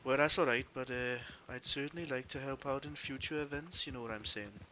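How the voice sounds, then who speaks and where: A man's voice: medium pitch, brisk, crowd noise, lightly acted. A man with a Welsh accent playing an amiable small-time Wild West bandit in an online roleplay game, chatty, polite and a little hesitant. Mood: friendly, probing. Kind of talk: improvised in-character game chat.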